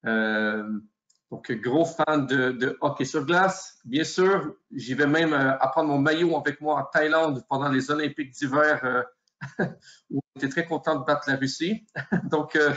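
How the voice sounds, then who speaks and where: A man with a Canadian French accent talks hesitantly, stopping on little fillers and laughing. His voice is a bit high. The sound is dull and lo-fi from a video call. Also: measured pace, fairly bright tone. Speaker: presenter.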